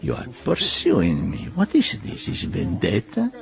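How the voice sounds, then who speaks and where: An actor playing a theatrical, jovial yet needling Italian gambler in a radio drama, effusive and full of questioning hums, with an Italian accent. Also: male voice, mid-range voice, wildly swooping pitch, measured pace, creaky, a crowd in the background, exaggerated voice. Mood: needling, suspicious.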